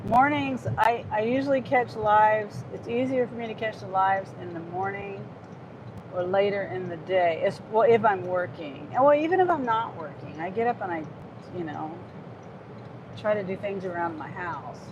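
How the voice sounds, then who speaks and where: A woman's voice: medium pitch, moderate pace, muffled recording. Chatty woman in her fifties, noisy car. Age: 50s. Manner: chatty and rambling, with frequent restarts. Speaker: gig delivery driver livestreaming. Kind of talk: casual live-stream chat reading viewer comments.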